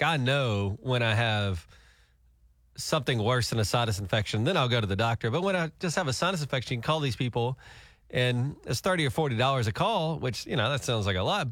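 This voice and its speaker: A man hosting a country radio show, joking and bantering quickly with his co-host. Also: mid-range voice, expressive, a bit bright, no echo, clean studio sound. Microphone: studio mic.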